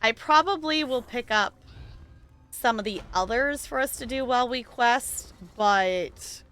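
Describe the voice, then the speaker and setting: A woman's voice: on the high side, expressive, unhurried, a bit bright. A woman streaming a game, talking casually and rambling with lots of fillers and small laughs. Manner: rambling, chatty, frequent "like" and laughs. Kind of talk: casual gaming stream chat.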